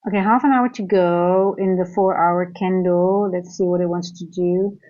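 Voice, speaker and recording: Dutch-accented female trading coach, low mellow voice, muffled lo-fi audio, talks things through aloud. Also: fairly even intonation, normal speed. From Netherlands. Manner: thinks aloud with restarts and tag questions. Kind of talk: live trading stream commentary.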